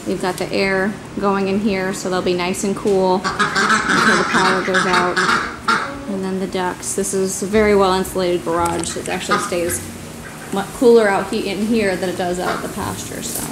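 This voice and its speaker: A woman's voice: medium pitch, little pitch movement, normal speed. A young adult woman talking casually to camera as a farm vlogger, explaining things in a plain, informal way. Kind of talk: casual vlog talk. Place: large garage, kitchen, outdoors in a yard. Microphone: camera mic, arm's length or farther. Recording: echoey garage and rooms, background noise.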